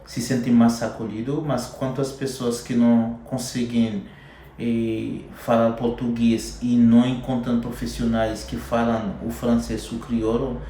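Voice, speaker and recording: A man's voice: mid-range voice, level tone, a little slow, dark tone, a little hiss or hum. A man with a Haitian accent, explaining things reflectively in a documentary interview. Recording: very echoey room.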